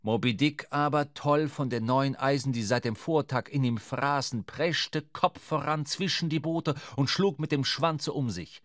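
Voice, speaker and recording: A man reads literary narration for a radio play. His voice sits at an average pitch and he tells the story in a measured, dramatic way. The sound is very dry, with no room around it. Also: fairly bright tone, no echo.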